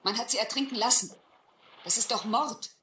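A woman's voice: on the high side, normal speed, bright, forceful tone. An actress playing a principled, genteel young lady castaway in a radio play, earnest and reproachful. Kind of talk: German radio play acting. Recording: roomy, faintly noisy radio play recording.

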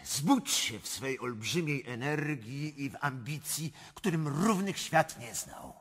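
An actor playing a bold, mocking courtier in a radio drama, with theatrical, declamatory delivery. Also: male voice, on the high side, lively intonation, slow pace, fairly bright tone, slightly rough, full character voice. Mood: goading, mocking. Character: bold, mocking courtier goading his empress.

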